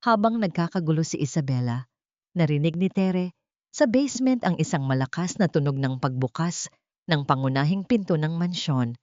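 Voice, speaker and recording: A woman narrates a dramatic story with theatrical gravity. Her voice sits on the low side, and the recording is very dry and a little dull. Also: lively intonation, moderate pace, darkish tone, dry recording. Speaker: audiobook narrator.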